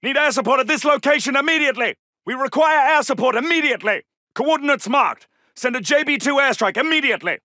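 High-pitched, commanding wartime soldier. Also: male voice, expressive, fast talker, sharp tone, no room sound, clean studio sound, full character voice. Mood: firm, alert. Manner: urgent, clipped, commanding. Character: commanding male World War II British soldier pilot. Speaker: video game soldier character. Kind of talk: military battle callouts.

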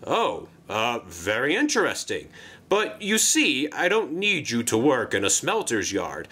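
A pompous railway controller as a man acts him out for a children's train tale. He is formal, effusive and eager, with swooping high inflections and a bright, forceful delivery. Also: huge pitch swings, a little slow, breathy edge, full character voice. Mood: politely flustered. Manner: formal, effusive, flustered when surprised.

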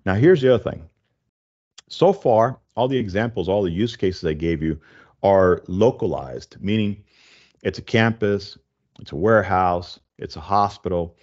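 Low-pitched middle-aged male podcast host. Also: lively intonation, unhurried, a little mellow, lo-fi sound. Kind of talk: casual explanatory tech podcast monologue. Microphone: close desk microphone with headphones.

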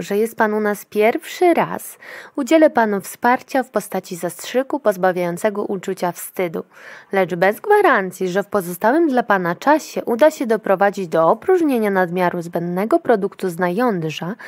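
An actress playing a brusque, officious clinic attendant in a dystopian future audio drama, clinical and commanding. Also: female voice, mid-range voice, a little mellow, no room sound, natural voice.

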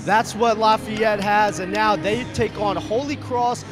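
High-voiced young male sideline reporter. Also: steady tone, measured pace, music in the background. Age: young adult. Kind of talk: postgame sports interview. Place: courtside in an indoor arena. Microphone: handheld interview microphone.

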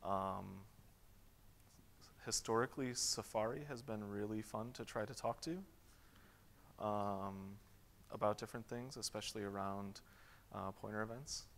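A man's voice: fairly low pitch, a little slow, creaky, a little hiss or hum. Man answering questions on a conference stage. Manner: relaxed, many fillers and restarts. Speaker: conference keynote speaker. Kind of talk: audience Q&A at a conference. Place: large conference hall stage. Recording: long hall reverb.

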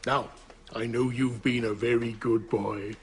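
A man playing a jolly old Santa Claus in a sitcom, with a husky voice. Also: medium pitch, steady tone, unhurried, a little hiss or hum, close to an everyday voice. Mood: confidential. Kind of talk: sitcom acting.

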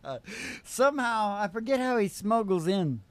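A man's voice: sits high, lively intonation, a little slow, no room sound. Rambling older man chatting on stage. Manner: rambling, forgetful, stop-and-start storytelling. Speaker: show host and musician. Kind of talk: rambling casual chat on stage. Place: indoor curtained small stage studio. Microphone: stage microphone on stand.

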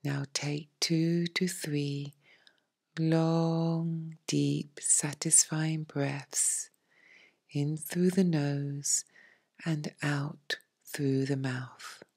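A woman leading a guided meditation as a teacher, in a soft, breathy, hushed and soothing voice with long pauses. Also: low-pitched, fairly even intonation. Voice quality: soft, breathy, near-whispered. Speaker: yoga nidra meditation teacher.

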